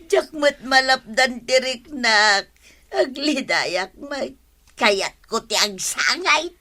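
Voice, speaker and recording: A woman's voice: sits high, lively intonation, slow pace, pushed and bright, a little hiss or hum, exaggerated voice. An actress with an Ilocano Filipino accent playing a whiny, lovesick, pitiful village woman in a radio comedy. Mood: pining, whiny. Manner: plaintive, wailing. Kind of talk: comedy radio drama acting.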